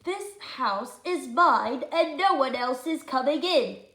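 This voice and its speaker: A woman voicing a selfish, stubborn little boy from a picture book, with loud, drawn-out, defiant refusals. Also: high voice, lively intonation, echoey room, full character voice. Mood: bossy, defiant. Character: bossy, stubborn, selfish little boy guarding his house.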